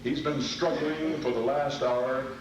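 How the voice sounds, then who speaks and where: A man's voice: medium pitch, fairly even intonation, a little slow, muffled recording. A man announcing and narrating in old-time radio style from a stage. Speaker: announcer and presenter. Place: convention stage before an audience. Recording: echoey noisy hall, distant microphone.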